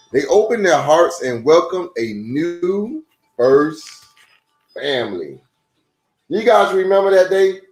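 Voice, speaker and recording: A man reads a picture book to children in a lively, swooping voice of medium pitch. He talks straight to his young audience as a storytime host. The room gives his voice a noticeable echo, and music plays underneath. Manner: addresses young listeners directly with rhetorical questions and exclamations. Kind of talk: animated read-aloud storytelling for children.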